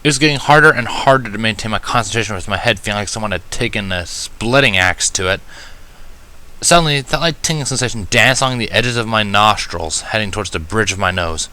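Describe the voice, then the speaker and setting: A man reading fan fiction aloud as an expressive first-person narrator. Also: on the low side, brisk.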